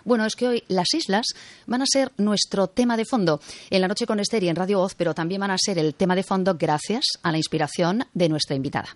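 Fast-talking Spanish woman hosting radio. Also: mid-range voice. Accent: Spanish from Spain. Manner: chatty, interjects short acknowledgements. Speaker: radio host. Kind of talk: conversational late-night radio interview. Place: radio studio. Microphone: close studio microphone.

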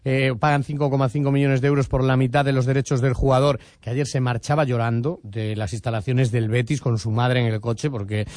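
A man presenting a radio sports news bulletin, informative and brisk, with fillers here and there. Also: medium pitch, little pitch movement, a little mellow, no room sound, studio quality.

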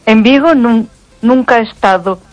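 A woman's voice: fairly high pitch, moderate pace, a little mellow, muffled recording, faint music behind. Older Spanish woman calling a radio show. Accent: Spanish (Spain). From Spain. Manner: hesitant, searching for words. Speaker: radio phone-in caller. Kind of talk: casual chat.

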